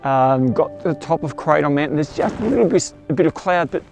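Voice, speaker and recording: A man's voice: fairly high pitch, expressive, fairly quick, a little mellow. A man, chatting enthusiastically to camera outdoors and explaining his photography as he goes. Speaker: astrophotographer vlogger. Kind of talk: casual talk to camera. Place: outdoors at night in the bush, then a bush camp in the morning. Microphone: clip-on mic.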